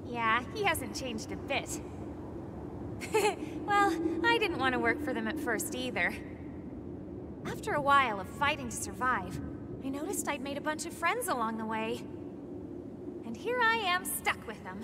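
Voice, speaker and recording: A woman's voice: high voice, sing-song, unhurried, long pauses, heavy background noise. A woman voicing a spirited, frank young fighter in a video game, breezy and informal. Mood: breezy, fond.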